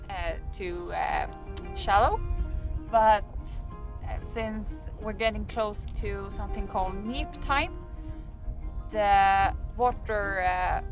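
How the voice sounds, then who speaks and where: A young woman chats casually to a camera out on a boat at anchor. She speaks slowly and hesitantly, feeling for her words, in a mid-pitched voice. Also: low-quality recording. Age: young adult. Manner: halting, hesitant phrasing. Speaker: sailing vlogger. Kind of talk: casual vlog talk to camera. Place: outdoors on a sailboat at anchor.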